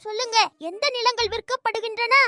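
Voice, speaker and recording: A woman's voice: extremely high pitch, flat delivery, a little fast, a bit bright, soft background music. Piercing, pompous little cartoon boy. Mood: childlike bargaining. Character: pompous boy posing as a rich landlord. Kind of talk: animated children's cartoon dub.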